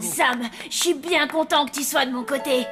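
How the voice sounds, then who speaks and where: A woman's voice: high-pitched, moderate pace, loud music behind the voice, natural voice. A woman voicing an earnest, easily indignant young zombie schoolboy in a cartoon. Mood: determined. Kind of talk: animated series voice acting.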